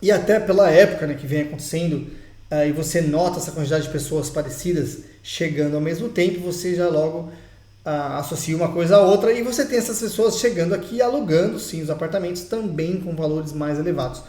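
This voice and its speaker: A man's voice: on the high side, fast, warm tone. A young Brazilian man with a Brazilian Portuguese accent, talking in a chatty, emphatic vlog and speaking straight to his viewers. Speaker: vlogger. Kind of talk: casual opinion vlog. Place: home in Portugal. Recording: roomy echo.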